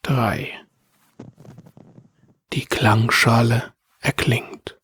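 Man acting a slow, weary, introspective bureaucrat. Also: medium pitch, flat delivery, fairly bright tone, slight background noise. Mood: solemn, resigned. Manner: measured, introspective, terse phrasing. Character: weary, solitary male bureaucrat with quiet grief. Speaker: narrator and lead actor in an audio drama. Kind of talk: first-person narrated radio play.

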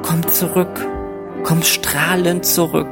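A woman's voice: lowish voice, flat delivery, slow. A woman reciting poetic text as a narrator in an audio drama, in a declamatory style. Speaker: narrator of the prologue and epilogue text. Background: piano music.

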